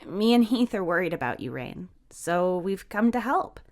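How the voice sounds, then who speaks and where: A woman's voice: on the low side, sing-song, moderate pace, a little mellow. Woman acting kind, shy young woman. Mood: gentle, nudging.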